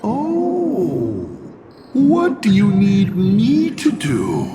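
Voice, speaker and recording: A man's voice: high voice, wildly swooping pitch, drawn-out words, mellow, big-room sound, faint music behind, exaggerated voice. A male actor playing the menacing, eager severed head of a destroyer lord in a video game, with a sinister drawl. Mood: menacing glee.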